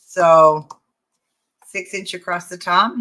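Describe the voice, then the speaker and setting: A woman's voice: on the low side, fairly even intonation, takes it slowly, pushed and bright. An older woman teaching a craft tutorial, chatting and greeting viewers as she works, her voice wearing thin. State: losing her voice. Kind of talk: live-streamed craft tutorial.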